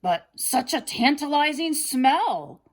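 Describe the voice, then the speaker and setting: A woman's voice: sits high, big pitch swings, takes it slowly, pitched above the performer's own voice, full character voice, low-quality recording. Lady doing a scheming greedy wolf from a kids story, wheedly and gloaty, voice swings all over. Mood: sly, wheedling. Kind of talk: children's storybook character reading.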